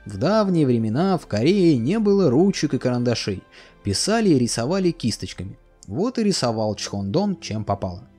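A man telling a fairy tale as a storyteller, reading it aloud with lively expression. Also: mid-range voice, moderate pace. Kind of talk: storybook narration. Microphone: voice-over. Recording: soft music behind.

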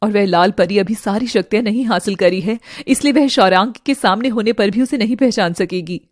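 A woman's voice: mid-range voice, a little fast, dry recording, clean studio sound, voice pushed down, lightly acted. A woman voicing a vengeful, cunning young fairy in a cartoon, dramatic and intense. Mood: alarmed, urgent.

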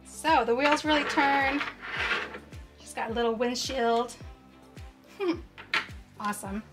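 A woman's voice: on the high side, takes it slowly, loud music behind the voice, rough recording. A young woman hosting a cheerful toy unboxing, gasping and exclaiming over each toy as she opens it. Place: indoors at a tabletop set.

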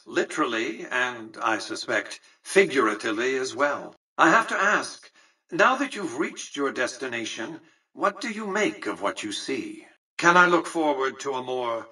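An imperious tycoon, bright forceful voice. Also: male voice, medium pitch, slightly rough, recorded from a distance, full character voice, low-quality recording. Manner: formal, measured, condescending, precise diction. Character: aged, shrewd, imperious industrialist tycoon ruling a casino city. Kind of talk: video game character dialogue.

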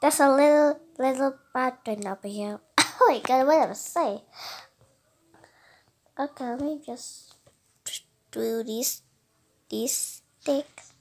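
High-pitched, slow, giggly young girl. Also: darkish tone. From Indonesia. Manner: chatty, giggly, self-talking. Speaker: game player. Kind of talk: casual gameplay narration.

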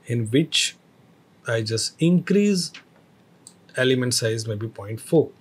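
A man teaching a screen-recorded software tutorial, explaining each step with hesitant phrasing and fillers. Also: mid-range voice, lively intonation, slow, husky.

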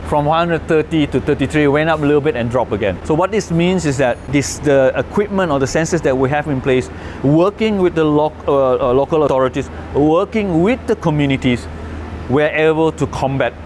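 A man's voice: fairly high pitch, a little mellow, slight background noise. A middle-aged man from Malaysia giving a conservation talk and answering questions, explaining in a hesitant way with frequent restarts. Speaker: conservation speaker. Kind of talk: presentation with audience Q&A.

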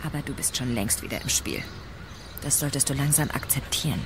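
Self-assured recruiter, outdoor noise behind. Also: female voice, a bass voice, expressive, brisk, gravelly, exaggerated voice. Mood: persuasive, challenging. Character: persuasive, self-assured female agency recruiter. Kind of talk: German radio crime drama acting. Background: outdoor park ambience.

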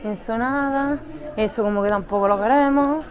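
A woman's voice: a little high, normal speed, over music. Woman vlogging in a busy store. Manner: chatty, laughing, informal. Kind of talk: casual vlog chat. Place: inside a large store. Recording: crowd noise behind.

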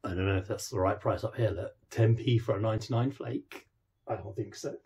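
A man's voice: fairly low pitch, fairly even intonation, fairly quick, darkish tone, slightly rough. A man gives a curious, chatty walkaround of an old van in a workshop, thinking aloud as he goes. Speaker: vlogger restoring a classic van. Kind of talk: casual walkaround commentary.

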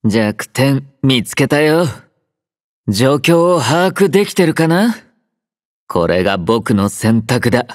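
Slow, soft-spoken young android soldier. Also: male voice, medium pitch, very animated, dry recording, studio quality. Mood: cool, resolute. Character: gentle, quietly caring young male android soldier, drowsy and composed. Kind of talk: Japanese game character voice acting.

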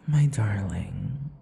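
A man playing a possessive, sadistic yandere vampire in an intimate ASMR roleplay, taunting and slipping into breathy whispers. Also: on the low side, darkish tone, full character voice. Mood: annoyed, coaxing. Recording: long hall-like reverb.

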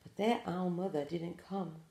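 A woman in her forties gently reading a picture book aloud to pre-school children, with expressive storytelling. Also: fairly low pitch, unhurried, creaky, roomy sound, slight background noise. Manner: gentle, addressing small children. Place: small room indoors.

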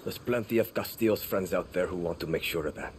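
An actor playing a defiant, protective young guerrilla fighter in a game cutscene, blunt and earnest. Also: male voice, deep voice, fast, a little mellow, a little hiss or hum, close to an everyday voice. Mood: weary resolve. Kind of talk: dramatic game cutscene acting. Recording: music behind the voice.

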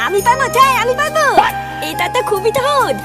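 A man voicing a naive, eager little cartoon schoolboy through a pitched-up filter. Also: lively intonation, fairly quick. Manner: eager, blurting, pleading. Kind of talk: comic cartoon dubbing. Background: loud music.

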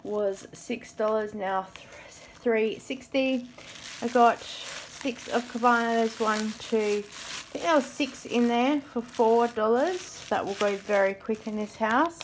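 A woman's voice: a little high, slow pace, slight background noise, rough recording. A woman chatting casually as she films the shelves on her phone, pointing out bargains with an easy laugh. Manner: chatty, thrifty, laughs now and then. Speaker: vlogger. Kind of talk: casual shopping commentary. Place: supermarket aisles, later at home. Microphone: phone camera held in hand.

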